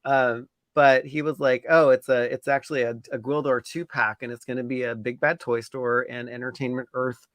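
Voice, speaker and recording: A man's voice: medium pitch, level tone, moderate pace, few pauses, fairly bright tone, dry recording, clean studio sound. A man co-hosting a casual toy-collecting livestream, reading viewer comments with enthusiasm and frequent chuckles.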